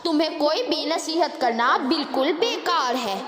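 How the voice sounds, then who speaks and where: A woman's voice: high voice, animated, measured pace, music in the background. A woman voicing a clever little female sparrow who lectures formally in a children's moral storybook. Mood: scolding. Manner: admonishing, formal storybook speech. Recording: echoey hall-like reverb, crowd-like background noise.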